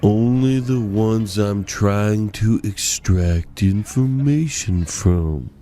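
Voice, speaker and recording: A man voicing a menacing, coolly polite cartoon villain with deliberate, sardonic delivery. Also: fairly low pitch, background noise. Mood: cool menace. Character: calm, menacing male interrogator, coolly polite.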